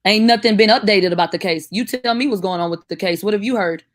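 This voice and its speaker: A woman's voice: medium pitch, fast talker, no echo, clean studio sound. A woman co-hosting a call-in talk show, speaking bluntly and casually, calling callers baby. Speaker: co-host.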